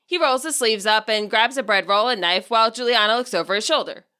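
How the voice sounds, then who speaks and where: A woman reading a scripted story as its narrator, with a wry, expressive delivery. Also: fairly high pitch, fairly quick, clean tone. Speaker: author narrating own fan fiction audiobook.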